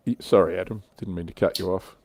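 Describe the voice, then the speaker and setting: A man's voice: deep voice, fairly even intonation, fast, a crowd in the background. A man hosting a livestream, chatting casually and reading out viewer comments. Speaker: livestream host.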